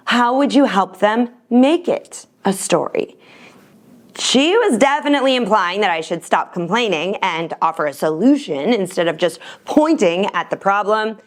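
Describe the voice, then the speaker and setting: A middle-aged woman presenting an instructional talk with anecdotes, speaking emphatically with deliberate pauses on key words. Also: mid-range voice. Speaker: storytelling coach and presenter.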